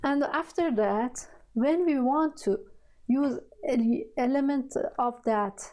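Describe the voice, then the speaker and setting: Slow, halting woman with Persian accent. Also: sits high, a little mellow. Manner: halting, searching for words, many fillers. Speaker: programming tutor. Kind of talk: screen-recorded coding tutorial.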